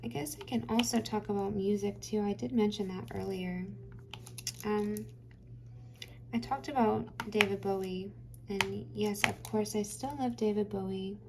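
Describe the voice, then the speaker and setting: A woman's voice: mid-range voice, steady tone, a little slow, background noise. A woman hosting a craft channel, chatting casually while she works, rambling and hesitant with many fillers, her voice tired and getting dry.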